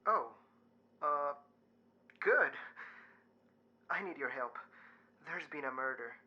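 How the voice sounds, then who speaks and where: An actor playing a wry, anxious mortuary worker in an audio drama, measured in delivery, heard over a call. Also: male voice, a little high, animated. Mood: worried. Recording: dull, band-limited call sound.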